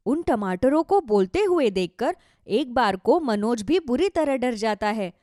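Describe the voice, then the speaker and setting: A woman narrates a children's moral tale from a script. Her delivery is animated and melodic, with a fairly high voice and a dry, close sound. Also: no room sound.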